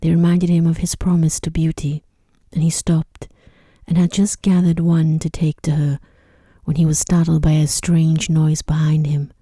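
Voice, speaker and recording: A woman narrating an ASMR sleep story in a soft, breathy voice, often near a whisper, close to the microphone. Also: sits low, level tone, moderate pace, no room sound.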